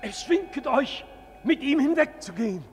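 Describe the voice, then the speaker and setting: An actor playing an alarmed, loyal soldier in a German radio play, urgent and theatrical. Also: male voice, extremely high pitch, expressive, moderate pace, music in the background. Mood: alarmed, grave. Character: alarmed, loyal soldier guarding his prince.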